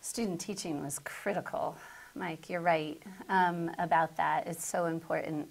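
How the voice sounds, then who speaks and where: Middle-aged woman, American accent, slightly low pitch, noticeable vocal fry, conversational interview guest. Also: fairly even intonation, measured pace. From southern Connecticut, United States. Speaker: school principal, interview guest.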